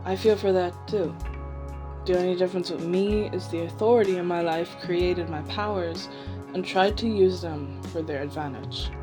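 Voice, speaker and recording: A woman voicing a sympathetic, resolute escaped experiment trained as a killer, in an amateur animated series. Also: on the low side, moderate pace, music in the background, close to an everyday voice.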